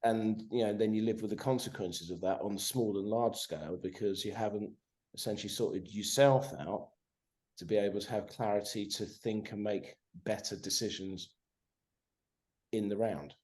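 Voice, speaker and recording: A man in his forties talks as a podcast guest over a video call. He swears freely and stays animated. He keeps tagging his points with "right?". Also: fairly low pitch, moderate pace. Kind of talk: casual conversation.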